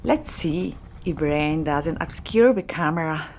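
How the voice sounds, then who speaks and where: A woman in her forties whose first language is Polish, narrating a sailing vlog in a slow, careful, explaining manner. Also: sits low, expressive, a little mellow, slight background noise. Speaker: vlogger narrator. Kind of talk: voice-over narration.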